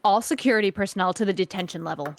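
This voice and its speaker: A woman playing a cool, suspicious crime syndicate boss, speaking formally and with measured skepticism in a sci-fi table read. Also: medium pitch, no echo, lightly acted. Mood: composed authority.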